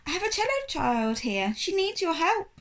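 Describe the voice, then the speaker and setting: Storybook gentleman guardian read by a woman: courteous, dry, formal, high-pitched with lively swings. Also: normal speed, exaggerated voice. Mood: polite, dry. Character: tall, long-legged gentlemanly guardian, politely dry man.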